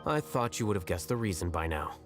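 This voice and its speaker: An aloof young scholar from a video game, with a lowish voice. He is measured, logical and faintly condescending, with clear music playing behind him. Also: animated. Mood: dry, dismissive. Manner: measured, matter-of-fact, condescending.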